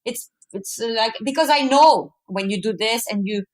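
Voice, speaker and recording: A woman from France with a mixed French accent, talking expressively in halting non-native English as an interview guest. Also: a little high, expressive. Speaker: podcast guest, movement artist. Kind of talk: casual conversational interview.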